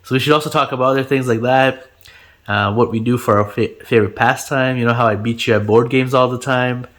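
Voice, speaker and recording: A man's voice: mid-range voice, fairly even intonation, fairly quick, a bit bright. A man in his twenties, Gujarati native speaker from India, chatting casually in an interview with lots of fillers and easy laughter. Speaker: channel host being interviewed.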